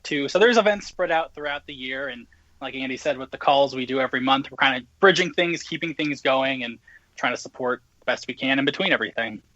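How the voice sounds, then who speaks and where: A man's voice: medium pitch, sharp tone, no echo. A man speaking as an interview guest, casually running through information over a slightly dull remote connection.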